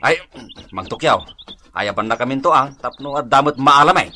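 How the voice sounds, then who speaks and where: An actor playing an excitable, comic village man in an Ilocano radio drama, with exclamatory, drawn-out reactions. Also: medium pitch. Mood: animated.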